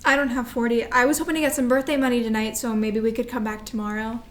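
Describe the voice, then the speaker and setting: Teenage little sister, bashful yet excitable, very high voice, woman acting, stage play. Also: quick pace, lightly acted. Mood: hesitant, excited. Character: teenage girl, shy but excitable younger sister.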